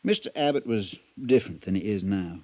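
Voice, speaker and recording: Casting: male actor as deferential old-radio stable hand, low mellow voice, folksy and hesitant, loyal but worried. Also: lively intonation, fairly quick, natural voice. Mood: reflective. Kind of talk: 1950s radio drama acting. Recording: dull, muffled vintage radio recording.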